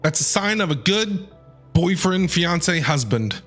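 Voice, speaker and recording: A man gives casual live reactions to a game. His voice is bright and very animated, full of enthusiastic exclamations. Soft music runs in the background. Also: high-pitched, slow.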